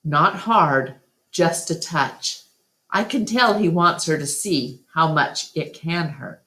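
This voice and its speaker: A woman reading a children's novel aloud as storyteller, in deliberate, expressive scripted narration. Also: low-pitched.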